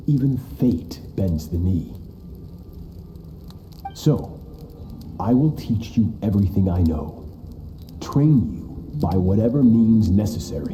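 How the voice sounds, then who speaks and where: An actor playing a ruthless, battle-hungry sword master in a video game, speaking in a cold, haughty, grandiose manner. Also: male voice, on the low side, warm tone. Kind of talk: dramatic video game dialogue.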